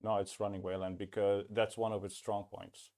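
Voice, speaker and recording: A man hosting a casual tech podcast over a remote call, chatty and quick to chime in with short agreements. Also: on the low side, level tone, mellow. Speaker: podcast host.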